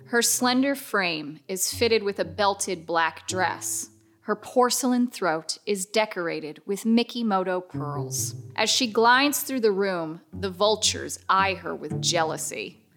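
Wry female storyteller, played by a woman for horror radio drama, mid-pitched, measured and ominous, music underneath. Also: a bit bright. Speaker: narrator. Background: prominent music.

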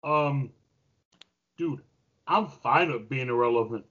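A man's voice: mid-range voice, takes it slowly, a bit bright. A man trading casual wisecracks as a panelist on a podcast. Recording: dull, lo-fi sound.

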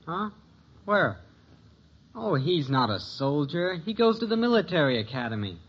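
A man playing a grumbling, literal-minded American teenage son in a 1950s radio sitcom, deadpan in manner. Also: fairly high pitch, normal speed, breathy edge. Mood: teasing. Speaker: actor in a family situation comedy. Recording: very noisy, muffled old radio recording.

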